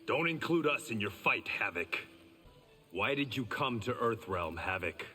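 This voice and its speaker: A man's voice: fairly low pitch, animated, unhurried, sharp tone. An actor playing a stoic, wry swordsman in a fighting video game. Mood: stern. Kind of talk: video game dialogue, battle banter. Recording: hall reverb, music behind.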